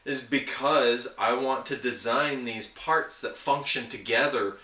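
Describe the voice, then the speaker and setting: A man with a bright, crisp-edged voice of middling pitch chats as a podcast co-host. He explains details in the casual way of a keen hobbyist, recorded in a room with clear echo. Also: a little slow.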